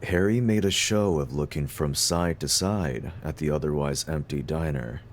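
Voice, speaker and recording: A man's voice: extremely low pitch, normal speed, a little hiss or hum. A man narrating a scripted story as the narrator of an audio drama.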